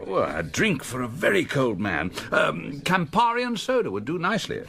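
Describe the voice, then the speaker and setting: An actor playing a courteous, inquisitive London doctor with an English accent, hesitant and well-spoken, in an audio drama. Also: male voice, a little high, huge pitch swings, normal speed, gravelly, bright, forceful tone, full character voice. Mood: polite, awkward.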